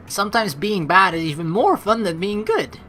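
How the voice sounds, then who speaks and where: A woman's voice: medium pitch, animated, normal speed, a bit bright. A woman hosting a let's play, chatting informally with rambling asides and reading the game's story text aloud. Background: game music.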